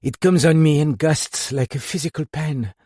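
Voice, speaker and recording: A man's voice: medium pitch, big pitch swings, breathy edge, no room sound, clean studio sound, pitched above the performer's own voice. A man voicing a weary, grieving old Frenchwoman. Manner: quiet, halting, breaking into passionate outbursts. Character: weary, high-strung older Frenchwoman, grieving and self-blaming.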